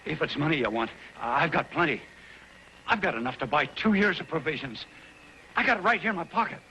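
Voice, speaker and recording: An actor playing a mild gold prospector, pleading and indignant, in an old television western. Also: male voice, fairly high pitch, fairly quick, slight background noise, rough recording. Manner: pleading, protesting, excitable. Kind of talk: 1950s television western acting. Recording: old TV soundtrack with music behind.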